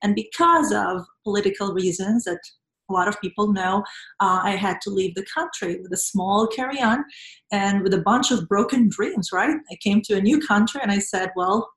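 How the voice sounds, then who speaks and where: A woman's voice: medium pitch, echoey room, low-quality recording. A woman from Venezuela with a Venezuelan Spanish accent, answering interview questions with earnest, reflective personal storytelling. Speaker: interview guest, nonprofit professional.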